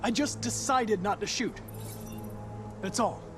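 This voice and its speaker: Brief: polite, controlled android cop, slightly high voice, game score underneath. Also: male voice, slow. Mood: calm, composed. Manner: even, polite, composed. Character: calm young-looking male android detective. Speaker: police investigator partner. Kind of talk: video game cutscene acting. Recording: game score music behind. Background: music.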